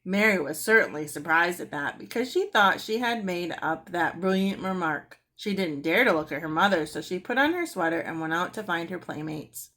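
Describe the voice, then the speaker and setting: A woman reading a children's book aloud as an expressive storyteller. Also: on the low side, normal speed, few pauses. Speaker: storybook reader.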